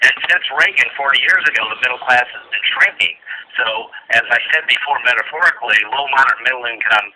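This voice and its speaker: A man in a political discussion, explaining his points in halting phrases with frequent pauses. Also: mid-range voice, background noise.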